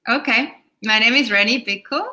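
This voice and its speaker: A woman's voice: a little high, moderate pace, bright, forceful tone. A woman in her thirties from Switzerland, a yoga teacher speaking casually as an interview guest, with Swiss German as her first language. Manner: chatty, laughs between thoughts.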